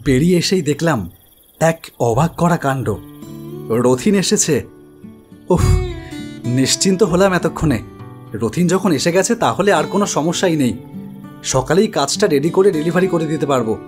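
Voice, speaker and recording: A man's voice: on the high side, expressive, normal speed, long pauses, a little mellow, natural voice. Man playing a troubled idol-maker telling a ghost story. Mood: uneasy, brooding, then relieved. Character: anxious male idol-maker recounting his ghostly encounter. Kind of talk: dramatic first-person horror story narration. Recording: studio voice over music bed.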